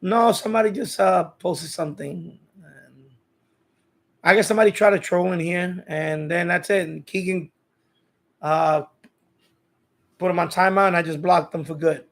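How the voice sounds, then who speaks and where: A man's voice: sits high, fairly even intonation, normal speed, creaky, pauses often, fairly bright tone. A man hosting a casual live stream, talking informally to his chat in a rambling way and often repeating his phrases. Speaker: live stream host of a radio hobby channel.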